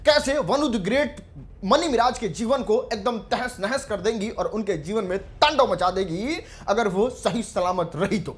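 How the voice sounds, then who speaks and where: A woman's voice: medium pitch, normal speed. A woman giving informal gossip commentary to viewers in a dramatic, emphatic, teasing manner. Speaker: commentary channel host.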